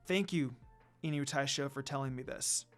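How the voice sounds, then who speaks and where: A man's voice: mid-range voice, normal speed, faint music behind, voice pushed down, natural voice. A man voicing a curious, kind young priestess with an earnest, questioning manner in a fan fiction reading. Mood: friendly, earnest.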